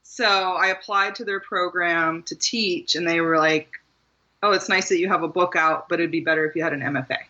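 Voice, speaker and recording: A woman's voice: fairly low pitch, fairly even intonation, a little fast, bright, forceful tone, muffled recording. A woman from Maryland, a guest author, talking casually in an interview, rambling a little and laughing often. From Maryland, USA.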